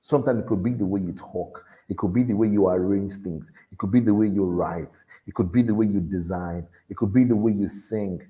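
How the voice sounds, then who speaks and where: A man with a deep voice, giving an earnest motivational talk to young people and repeating his key lines for emphasis. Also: a little fast, hardly any pauses, mellow. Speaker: motivational speaker at a youth event.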